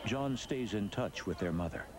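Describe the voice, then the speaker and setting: A man's voice: lowish voice, expressive, moderate pace, a crowd in the background, background noise. A man reading scripted narration for a sports documentary. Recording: loud music behind the voice. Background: music.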